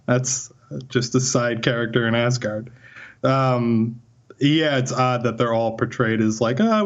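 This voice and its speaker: A man's voice: mid-range voice, highs cut off. A man co-hosting a podcast in casual chat, rambling with many fillers and easy laughs. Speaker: podcast co-host.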